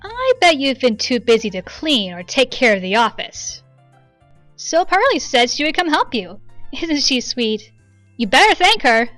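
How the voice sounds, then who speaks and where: A woman plays a bubbly young woman in a video game fan dub. Her voice is high and very lively in pitch. She teases with open affection. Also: a little slow, dry recording, soft background music, exaggerated voice. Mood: playful, teasing.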